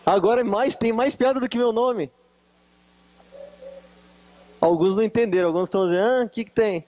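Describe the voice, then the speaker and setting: A man's voice: sits high, fast, darkish tone. A man with a Minas Gerais accent preaching at a conference, teasing and joking with the audience between remarks. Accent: Brazilian Portuguese, Minas Gerais. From Varginha, Brazil. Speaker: guest preacher. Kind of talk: conference sermon with jokes. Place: conference hall with live audience.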